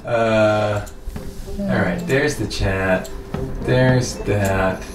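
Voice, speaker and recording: A man's voice: lowish voice, extremely slow. A man hosting a casual gaming livestream, chatting off the cuff. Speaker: video game streamer, host. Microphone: shared microphone set a little away from him. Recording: music playing behind the voice. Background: video game music.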